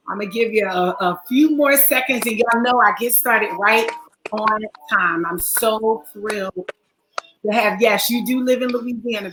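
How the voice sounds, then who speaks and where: A woman with a raspy voice hosts a livestream talk show. She greets viewers by name and laughs often. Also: high-pitched, roomy sound, background noise. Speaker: online talk show host. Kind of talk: welcoming livestream chat.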